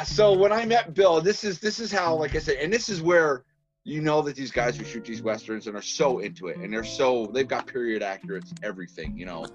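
A man's voice: mid-range voice, lo-fi sound. A man from Massachusetts with a Boston accent, a podcast guest telling stories and acting out the dialogue. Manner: animated storyteller who acts out quoted dialogue. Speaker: guest, filmmaker. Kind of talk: casual podcast storytelling.

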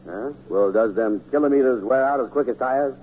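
An actor playing a slow-witted, gullible buddy in a radio comedy, with a folksy, ungrammatical, drawling manner. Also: male voice, medium pitch, lightly acted. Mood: puzzled. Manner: drawling, skeptical yet easily persuaded, ungrammatical. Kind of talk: 1940s radio comedy acting. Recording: tinny, hissy old radio broadcast.

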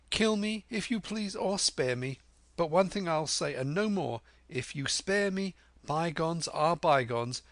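A man voicing a brave, excitable boy adventurer with emphatic, urgent delivery in an audiobook. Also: a little high, no echo, pitched above the performer's own voice. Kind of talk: dramatic audiobook character voice.

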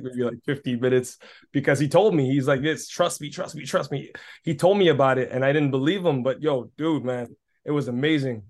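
A man's voice: medium pitch, darkish tone. A man in his early thirties, with a mid-range voice, tells a personal story with enthusiasm. He leans on repetition to stress his points and keeps an easy, chatty tone. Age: 30s. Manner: enthusiastic, emphatic, repeats phrases. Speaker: podcast guest giving a testimonial. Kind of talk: casual storytelling.

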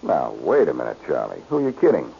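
A man's voice: extremely low pitch, huge pitch swings, fast talker, vocal fry, heavily acted. An actor playing a cool-headed, wisecracking male amateur sleuth, tossing off sardonic quips in 1940s radio detective style. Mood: insistent. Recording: muffled, noisy old radio recording.

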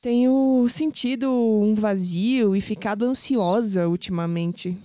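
A woman's voice: mid-range voice, takes it slowly, mellow, no room sound, studio quality, close to an everyday voice. An actress playing a young, skeptical and searching Brazilian woman in an audio drama. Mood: uneasy, searching.

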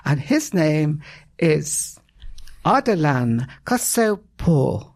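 A man's voice: on the high side, big pitch swings, very slow. A man hosting a business podcast, asking rambling, joking questions of his guests. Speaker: podcast host. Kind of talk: interview hosting.

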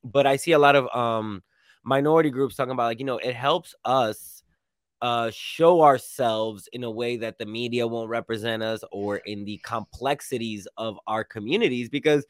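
A man from New York hosts a podcast in a casual, animated way, often repeating words as he restarts his sentences. His voice has a mid-range pitch, and the sound is dry and close. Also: moderate pace, no echo. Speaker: podcast host.

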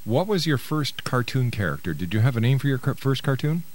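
A man's voice: mid-range voice, big pitch swings, brisk. A mature man hosting a radio interview in a conversational, encouraging and avuncular way.

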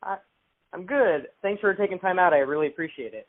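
A man's voice: high voice, brisk. Rambling male interviewer on a phone line. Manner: rambling, filler-heavy questions.

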